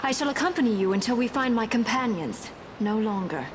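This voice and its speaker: A woman's voice: fairly high pitch, animated, brisk, noisy background, natural voice. An actress playing a proud, resolute young noblewoman and rebel in a video game, speaking in a formal, clipped, haughty manner. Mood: haughty, determined. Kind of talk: dramatic video game voice acting. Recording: music behind the voice.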